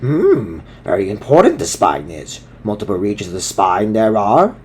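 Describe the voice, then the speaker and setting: A man voicing a small, ancient, pensive alien sage with a croaky voice, inverted word order and thoughtful hums. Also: fairly low pitch, huge pitch swings, normal speed, a little mellow, heavily acted. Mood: curious.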